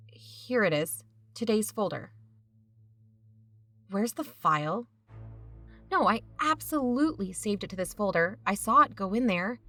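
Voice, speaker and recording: A woman's voice: medium pitch, fairly bright tone, no echo. An actor playing an earnest, shaken woman investigator in an audio drama styled as a podcast. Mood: puzzled, searching.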